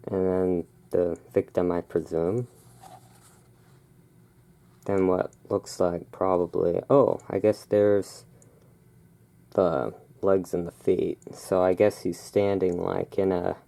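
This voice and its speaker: Low pitch, dark mellow tone, ASMR read-aloud, musing off-the-cuff asides, casual host. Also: vocal fry. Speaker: host reading and commenting on a magazine.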